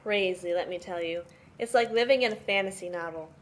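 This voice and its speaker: A woman's voice: on the low side, brisk, lightly acted. A woman playing a tough, protective sister who mothers her brothers, explaining things matter-of-factly in an amateur audio play. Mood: explanatory. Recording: noisy amateur home recording.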